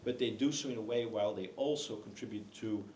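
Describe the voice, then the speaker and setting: A man's voice: on the low side, a little fast, a little mellow. Man speaking on a panel in an echoey hall. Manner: hesitant, many fillers and restarts. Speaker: book co-author on a panel. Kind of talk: panel discussion. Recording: echoey hall, faint noise.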